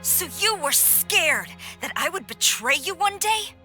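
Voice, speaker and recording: A woman's voice: very high voice, big pitch swings, a little slow, sharp tone, faint music behind, heavily acted. A woman voicing a stern, loyal young woman general in a video game, formal and clipped. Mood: anguished.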